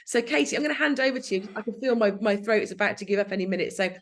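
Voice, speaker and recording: A woman hosting an online webinar, a little croaky, chatty and given to repeating herself. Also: mid-range voice, very fast, fairly bright tone. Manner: chatty, repeats words and restarts often. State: croaky after illness. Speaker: webinar host. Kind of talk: casual webinar welcome. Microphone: video call.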